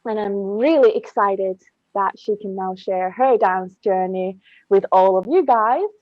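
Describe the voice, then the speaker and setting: A woman's voice: mid-range voice, measured pace, dry recording, muffled recording. A woman hosting a casual podcast interview, speaking in an enthusiastic, rambling way. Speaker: podcast host.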